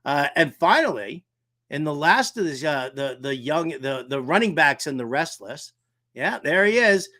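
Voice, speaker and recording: An American man hosting a fantasy football talk show, animated and theatrical, with colloquial, wisecracking delivery. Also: a little high, measured pace. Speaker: sports talk show host.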